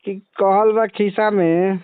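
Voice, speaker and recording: A man with a Bhojpuri accent in a casual phone chat, asking questions in leisurely, drawn-out phrases on a recorded call. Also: sits high, fairly even intonation, smooth, no room sound, phone-quality sound. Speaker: comedy channel owner interviewing a guest.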